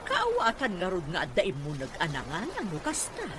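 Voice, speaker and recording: A woman's voice: fairly low pitch, wildly swooping pitch, moderate pace. A woman acting a concerned middle-aged mother in a radio drama, with an Ilocano accent. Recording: clearly noisy ambience behind.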